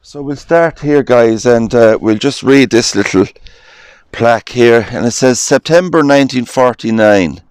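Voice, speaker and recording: A hoarse man with an Irish accent, casually vlogging as he walks an old cemetery and reads headstones aloud in a gentle, unhurried way. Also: medium pitch, no echo. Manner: gentle, unhurried, with frequent "uh" pauses. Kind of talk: casual walking vlog, reading headstones aloud.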